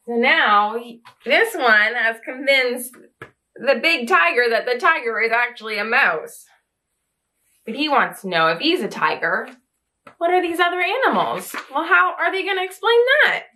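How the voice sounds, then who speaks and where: A woman's voice: high-pitched, sing-song, a little slow, bright, forceful tone. A woman in her thirties hosting children's story time, reading a picture book expressively and asking the listener questions. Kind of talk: animated picture-book read-aloud for young children. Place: small curtained room indoors.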